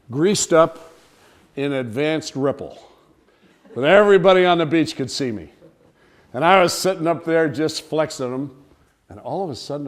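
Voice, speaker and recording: A man telling a humorous personal anecdote to listeners in an animated, theatrical way. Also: a little high. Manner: animated, theatrical storytelling with acted-out cries and dialogue. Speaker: storyteller, speaker to an audience.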